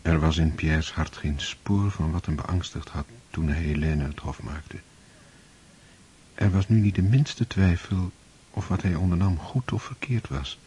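A man narrating a radio play in a steady, measured storytelling manner. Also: sits low, slight background noise. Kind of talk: scripted narration.

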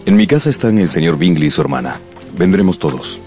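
Very deep-voiced courteous gentleman. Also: very animated, fast talker, faint music behind. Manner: formal, polite address. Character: courteous, reserved wealthy gentleman suitor. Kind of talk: radio drama acting.

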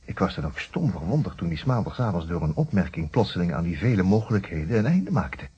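A man's voice: deep voice, very animated, quick pace, mellow, breathy edge, exaggerated voice. An actor playing a wry, questioning middle-aged lawyer in a Dutch radio detective play, musing and often repeating his words hesitantly. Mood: wry narrating. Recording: dull, muffled old radio recording.

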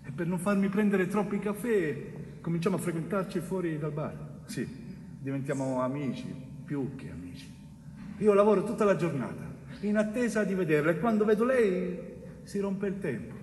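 An actor playing a wrongly convicted Sicilian bricklayer in a theatrical monologue, tormented and tender, pleading haltingly with repetitions and dramatic pauses. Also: male voice, sits high, expressive, a little mellow. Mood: tender, confiding. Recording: echoey hall, distant mic, faint noise.

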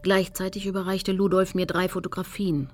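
A woman's voice: lowish voice, flat delivery, moderate pace, faint music behind, close to an everyday voice. An actress playing a determined woman who narrates her own investigation in a radio drama. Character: determined woman recounting her hunt for a war criminal. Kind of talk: dramatised first-person narration.

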